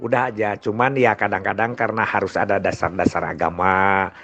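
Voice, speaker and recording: A man's voice: lowish voice, flat delivery, a little fast, fairly bright tone. An older man with a West Javanese Sundanese-region Indonesian accent, talking informally and ramblingly like a grandfather telling of past experiences. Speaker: storyteller. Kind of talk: informal reminiscing monologue. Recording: dull lo-fi sound with music behind. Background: music.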